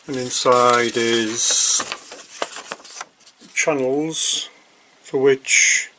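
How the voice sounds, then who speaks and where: Slow-paced man in a noisy recording. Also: medium pitch, fairly even intonation, sharp tone. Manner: hesitant, piecemeal delivery with long pauses. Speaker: hobbyist product reviewer. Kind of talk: casual unboxing commentary.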